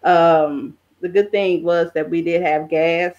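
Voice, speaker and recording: A middle-aged woman answering as a podcast guest, talking casually in a halting, conversational way. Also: lowish voice, fairly even intonation, darkish tone, muffled recording. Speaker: podcast guest, travel content creator. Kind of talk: casual interview chat.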